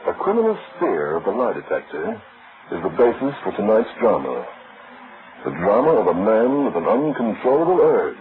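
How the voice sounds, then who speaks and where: A man's voice: lowish voice, huge pitch swings, normal speed. A man narrating a radio crime drama in a grave, old-fashioned scripted style that builds suspense. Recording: muffled, noisy vintage radio recording.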